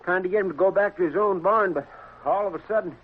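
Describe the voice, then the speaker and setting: An actor playing a loyal, folksy, anxious western deputy in a 1950s radio drama. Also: male voice, sits high, quick pace, natural voice. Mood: anxious, urgent. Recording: noisy, tinny old radio recording.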